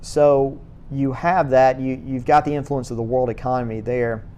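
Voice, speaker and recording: A man giving a church history lecture to a class, speaking in a halting way, restarting words and filling pauses with uh. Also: medium pitch, steady tone, mellow, clear, resonant tone. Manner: halting, repeats words, many uh fillers.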